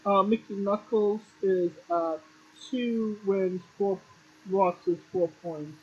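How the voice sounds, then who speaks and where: A man's voice: high voice, slow, slightly rough, lo-fi sound. A man hosting a casual wrestling podcast, matter-of-fact, reeling off standings with offhand swearing. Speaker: podcast host.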